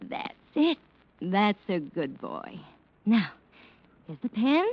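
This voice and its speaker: Scheming wife, swooping delivery. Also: female voice, medium pitch, takes it slowly, husky, exaggerated voice. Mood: coaxing, sugary. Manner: coaxing, sugary, expressive. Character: smooth, scheming, flirtatious wealthy wife. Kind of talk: 1940s radio acting. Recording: dull old radio recording.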